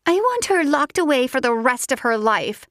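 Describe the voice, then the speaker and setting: Narrator doing an entitled, seething woman, pitched high and shrill; dry close read. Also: expressive, measured pace, no room sound, natural voice.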